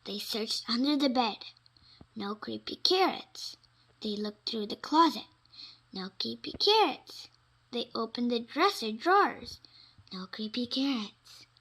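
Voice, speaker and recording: A woman reading children's stories aloud in an expressive, dramatic way, with suspenseful pauses. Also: on the high side, slightly rough. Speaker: storybook reader.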